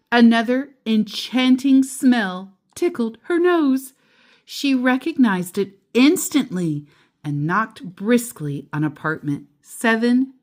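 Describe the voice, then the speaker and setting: A woman reading a children's picture book aloud expressively as a storyteller, with deliberate, dramatic emphasis on descriptive words. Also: mid-range voice.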